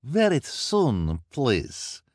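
A man voicing a scheming, greedy female fortune-teller who flatters and speaks broken English with a strange foreign accent. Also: mid-range voice, drawn-out words, dry recording, clean studio sound, voice pushed up, heavily acted. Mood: fawning. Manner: wheedling, ends phrases with "please". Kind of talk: audiobook character voice.

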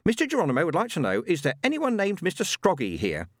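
A man's voice: a little high, wildly swooping pitch, fast, dry recording, studio quality, pitched above the performer's own voice. A man doing a fussy, sweetly bossy spirit medium. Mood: businesslike. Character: fussy middle-aged woman spirit medium.

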